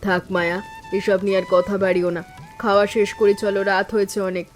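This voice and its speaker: A woman playing a calm, steadfast elder sister-in-law in an animated village story, speaking in a composed, firm and reassuring way. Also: mid-range voice, fast talker, soft background music, close to an everyday voice. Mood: calm, weary.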